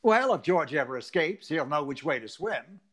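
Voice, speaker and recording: A man's voice: a little high, big pitch swings, moderate pace, fairly bright tone. A man playing a gloomy, doubting family friend in 1940s-style radio comedy. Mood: wry, gloomy.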